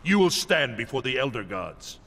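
An actor playing a stern, righteous thunder god in a video game, solemn and commanding. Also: male voice, mid-range voice, very animated, normal speed, gravelly, noisy background.

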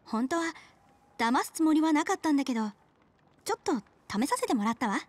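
Young bar hostess, high lively voice, coy, teasing, cheeky, video game drama. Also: female voice, fairly quick. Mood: frank, relieved. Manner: coy, teasing, casual feminine speech. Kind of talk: Japanese video game voice acting.

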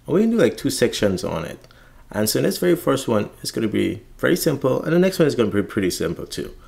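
A man with a Guyanese accent teaching programming in a relaxed screencast, thinking aloud as he types. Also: medium pitch, fast talker, vocal fry. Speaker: programming instructor. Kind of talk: screencast coding tutorial.

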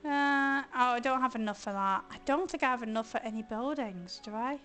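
A hoarse woman chatting casually as she streams a video game, thinking aloud and grumbling playfully. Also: fairly high pitch, fairly quick, a bit bright, faint music behind. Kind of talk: casual let's-play commentary.

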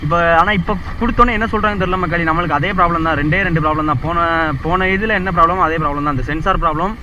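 A man's voice: fairly high pitch, very fast, bright, forceful tone, muffled recording. A young man, chatting casually to his viewers while riding a motorbike through city streets, rambling and repeating himself as he goes. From India. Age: young adult. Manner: chatty, addresses viewers constantly, rambling repetitions. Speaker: motovlogger. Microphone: helmet or chest action camera. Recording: traffic and wind noise.

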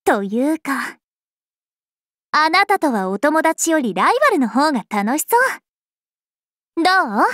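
Peppy young vampire princess for an anime game, super high n lively, bubbly tease, dry close sound. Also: female voice, slow, pauses often, no room sound, full character voice. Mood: curious, challenging. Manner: bubbly, teasing, animated. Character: cheerful, playful young-looking vampire princess, eager and energetic. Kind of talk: anime game character voice lines.

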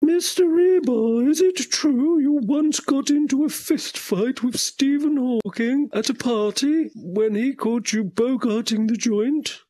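A man acts out a nosy radio-comedy reporter with a high-pitched voice. He questions formally and persistently, in a slow delivery, with faint music underneath. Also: mellow, heavily acted, low-quality recording. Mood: accusing. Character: persistent press reporters lobbing absurd accusatory questions. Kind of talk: comedy radio theater press conference.

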